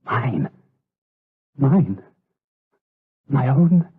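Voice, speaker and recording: An actor playing a lustful, guilt-tormented monk in a gothic radio drama, with breathy, theatrical, impassioned delivery. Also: male voice, mid-range voice, very animated, very slow, a little hiss or hum. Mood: obsessive. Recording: tinny, phone-like radio drama recording.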